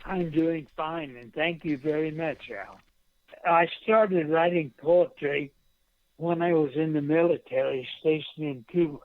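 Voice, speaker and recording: An American man in his seventies speaking as a radio guest in a halting, plainspoken way, on a dull, muffled line. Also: fairly high pitch, no echo. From United States. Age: 70s. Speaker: guest, poet and veteran. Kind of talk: interview reminiscence.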